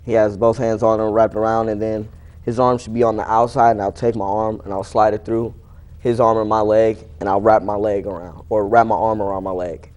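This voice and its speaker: Low-pitched young male athlete, casual. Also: level tone, a little fast, a little mellow. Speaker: wrestler being interviewed. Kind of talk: casual interview answers, recounting matches.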